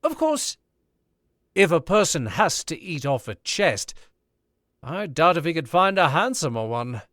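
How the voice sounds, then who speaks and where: A man voicing an urbane author who teases in a slow, lilting way, his pitch rising and falling widely. He is a radio-drama gentleman, polished and wry. The recording is close and dry. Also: very animated, no echo. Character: suave witty older male author. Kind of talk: old-time radio drama acting.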